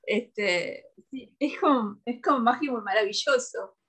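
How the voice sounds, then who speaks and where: A woman's voice: on the high side, measured pace, fairly bright tone, breathy edge. A woman with a Uruguayan accent, a radio co-host and comedian, chatting casually as the guest in a radio interview.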